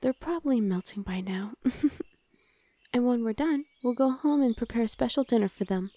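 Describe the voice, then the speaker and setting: A woman playing a soft-spoken caring big sister. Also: a little high, normal speed, no echo, close to an everyday voice. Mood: gentle. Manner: soft, half-whispered, reassuring. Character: gentle caring older sister, young adult woman, student. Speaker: voice actor. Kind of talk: ASMR roleplay.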